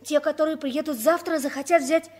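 A woman's voice: high-pitched, steady tone, fairly quick, a little mellow, natural voice. An actress playing an earnest, hopeful nine-year-old orphan boy in a radio play, childlike and wistful. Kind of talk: 1960s Soviet radio play acting.

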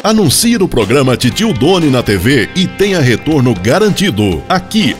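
A man reading a scripted promotional voice-over for a TV show's ad slots. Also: mid-range voice. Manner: promotional, lively read. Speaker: ad announcer.

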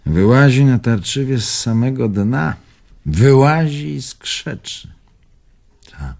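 A man's voice: mid-range voice, lively intonation, slow, gravelly, a little mellow. An older man playing a bitter, wounded old bon vivant in a radio drama, musing theatrically and evasively. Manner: theatrical, musing, swinging between murmur and outburst.

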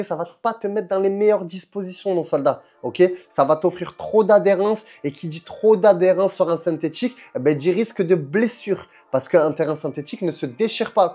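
A young man reviewing football boots to camera in a room lined with shelves, talking in an energetic, chummy way and calling the viewer his soldier. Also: on the high side. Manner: energetic, chummy, addresses viewer as "mon soldat". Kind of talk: casual product review to camera.

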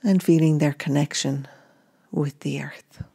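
Slow, low-voiced woman guiding meditation. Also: steady tone, vocal fry, breathy edge. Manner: gentle, unhurried instruction in short phrases. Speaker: meditation guide. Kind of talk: guided meditation narration.

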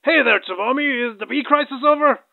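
A casual, unbothered cartoon girl on the phone acted by a woman. Her creaky voice comes through a thin, tinny line. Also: sits high, a little fast, no room sound, faint music behind. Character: nonchalant young girl calling by phone. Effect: phone filter. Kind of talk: comedic anime parody dub.

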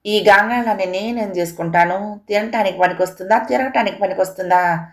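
A woman's voice: mid-range voice, brisk, close to an everyday voice. A woman voicing a poor, timid old village man in a storybook tale, fretful and full of doubtful questions.

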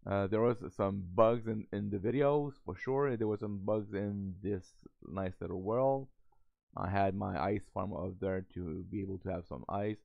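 Hoarse, gravelly man on a tinny mic. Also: fairly low pitch, dry recording. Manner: halting, many fillers and restarts. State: losing his voice, has a cold. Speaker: Minecraft server host. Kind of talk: casual gameplay voice-over. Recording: tinny phone-like lo-fi sound.

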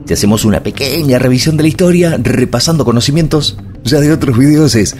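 A man's voice: mid-range voice, brisk, over music. A middle-aged man, narrating a road-trip travel video and explaining local sights one after another. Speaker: travel vlogger.

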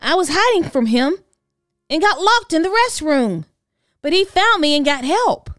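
A woman voicing an anxious, excitable young animal schoolboy in a children's storybook, with an urgent, exclaiming manner. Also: extremely high pitch, normal speed, no room sound, clean studio sound, pitched above the performer's own voice, full character voice. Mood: earnest.

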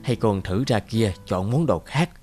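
Man voicing a gentle, hesitant cartoon mother, lively pitch. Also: a little slow, soft background music. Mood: gentle pleading. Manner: hesitant, patient, coaxing. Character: gentle, hard-up mother reasoning with her small son. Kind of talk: cartoon dub acting.

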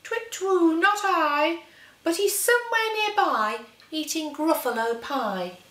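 Woman doing a high-pitched hooting owl. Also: expressive, slow, fairly bright tone, heavily acted. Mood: teasing. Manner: hooting, singsong. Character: hooting male owl, helpful trickster. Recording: roomy living-room echo.

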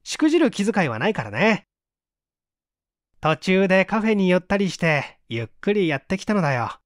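A man's voice: high voice, very animated, normal speed, no room sound, studio quality. A man voicing a smug, teasing amateur detective who boastfully explains his tricks in a mystery audiobook. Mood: smug, explanatory. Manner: taunting, self-satisfied, explanatory. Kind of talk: dramatic audiobook reading of a mystery story.

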